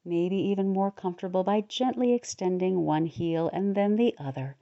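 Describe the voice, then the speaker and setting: A woman leading a guided relaxation meditation in a soothing, unhurried manner, pausing between short phrases. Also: lowish voice, dull audio. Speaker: guided meditation leader, podcast host.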